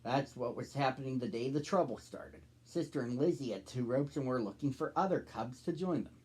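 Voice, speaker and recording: Husky-voiced man reading a children's story. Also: mid-range voice, lively intonation, fairly quick, a little mellow, low-quality recording. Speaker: storybook reader, host.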